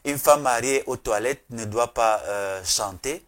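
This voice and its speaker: A man hosting a TV talk show, soft in his agreement and hesitant, with many fillers. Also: mid-range voice, measured pace. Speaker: TV show host.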